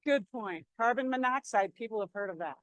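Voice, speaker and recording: A woman's voice: a little high, animated, no room sound, lo-fi sound. A woman presenter answering audience questions in a conversational way, often repeating a word as she restarts. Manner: quick, repeats words when restarting.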